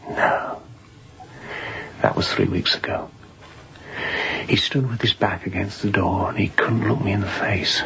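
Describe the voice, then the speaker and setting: A very deep-voiced fugitive sailor, weary and haunted. He speaks in hushed, halting confession, often close to a whisper. The radio drama recording sounds dull and muffled. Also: fairly even intonation, gravelly. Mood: bitter, haunted. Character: escaped seaman fugitive, weary, haunted, confiding. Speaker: actor in an audio drama.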